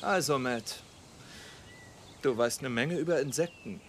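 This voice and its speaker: A man's voice: mid-range voice, a little slow, lightly acted. Guy playing sceptical investigator, dubbed tv drama, dry n blunt, standard german, mid voice, noisy background. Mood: probing. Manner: dry, sardonic, probing.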